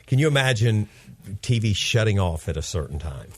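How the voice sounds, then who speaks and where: A man's voice: fairly low pitch, sing-song, normal speed, no echo. A man in his fifties hosting a radio show, talking in an upbeat, chatty, rambling way with his young son as guest. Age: 50s.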